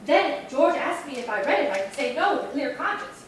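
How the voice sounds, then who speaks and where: A woman playing a scheming, excitable, dramatic housewife in a 1950s radio comedy, recorded from afar in an echoey hall. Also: high-pitched, fairly quick. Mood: sly curiosity. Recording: echoey hall, distant mic, faint noise.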